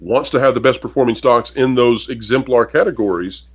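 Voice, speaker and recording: A man's voice: medium pitch, a little fast, breathy edge. A man co-hosting a casual commentary show, reading out an article and giving emphatic opinions to viewers. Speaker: podcast co-host.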